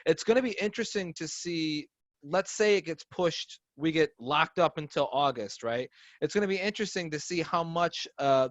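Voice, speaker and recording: Twenties male guest, higher-pitched, earnest and rambling; dull dry remote audio. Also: no room sound. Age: 20s. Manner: rambling, earnest, filler-heavy. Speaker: podcast guest. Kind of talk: casual chat.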